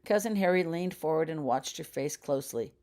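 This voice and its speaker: A woman with a low voice narrates a story as an audiobook. She reads scripted prose as the author of the tale. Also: brisk, dark tone. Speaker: author reading own fiction.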